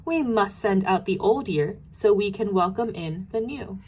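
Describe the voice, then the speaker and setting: A woman's voice: mid-range voice, lively intonation, unhurried, darkish tone, breathy edge. A woman voicing a brave, clever little girl in a storybook, speaking with urgent, persuasive delivery. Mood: bright, confident. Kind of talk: storybook character voice.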